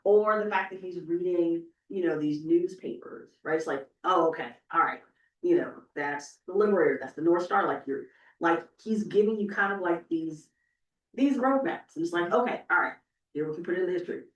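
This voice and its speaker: A woman's voice: on the low side, fairly quick, lo-fi sound. A woman speaking conversationally as an oral historian on a panel, emphatic and often checking in with "right?". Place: indoor event room. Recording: echoey room, distant microphone.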